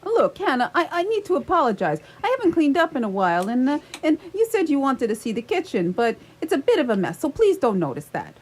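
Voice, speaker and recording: Woman playing a high-voiced, stammering nervous man. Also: huge pitch swings. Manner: halting, stammering, rambling. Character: nervous, evasive man in his mid-thirties, smitten with his teacher. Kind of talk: radio theatre comedy acting.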